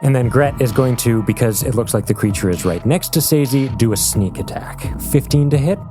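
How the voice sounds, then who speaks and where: A man's voice: medium pitch, animated, moderate pace. A man playing a tabletop game, narrating what his character does. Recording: music behind the voice. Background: music.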